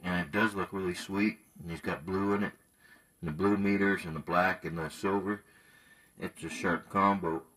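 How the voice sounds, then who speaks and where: Deep voiced guy, laid back, just chatting about radio gear. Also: steady tone, moderate pace, a bit bright. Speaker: CB radio hobbyist.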